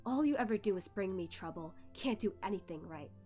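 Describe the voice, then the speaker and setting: A woman's voice: medium pitch, lively intonation, brisk, dark tone, soft background music, close to an everyday voice. A woman voicing a cold, composed male martial master who speaks tersely and with disdain. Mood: simmering irritation.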